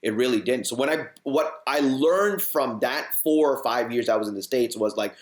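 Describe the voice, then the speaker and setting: Man, 40s, from Hong Kong, rambling guest, mid-pitched, echoey room. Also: lively intonation, normal speed. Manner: rambling, lots of "you know" and restarts. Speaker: guest, hardcore band frontman. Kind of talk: casual interview chat. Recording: roomy echo.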